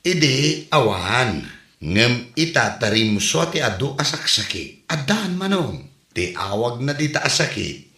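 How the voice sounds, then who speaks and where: An actor playing a nosy, wry village neighbor with an Ilocano Filipino accent in a comedy drama. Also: male voice, mid-range voice, big pitch swings, a little slow, bright, forceful tone, reverberant, heavily acted. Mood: wry, mocking.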